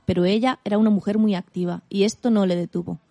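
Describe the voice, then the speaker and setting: A woman's voice: mid-range voice, fairly even intonation, quick pace, creaky, warm tone, dry recording. A woman presenting on radio, reading a scripted report.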